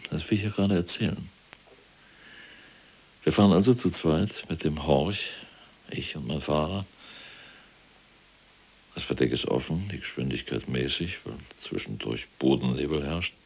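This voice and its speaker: An actor playing an imprisoned former SS general in a radio play, cold and self-righteous, telling his story in a deliberate, dramatic way. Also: expressive, darkish tone, heavily acted. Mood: brooding, hushed. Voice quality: very deep, gravelly, husky. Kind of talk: German radio play acting, monologue.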